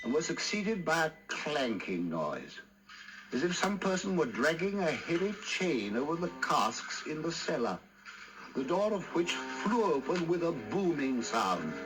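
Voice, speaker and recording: A man narrates a radio drama in a fairly high voice. His delivery is slow, measured and theatrical, with deliberate literary phrasing, and music plays clearly behind him. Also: sing-song, poor audio.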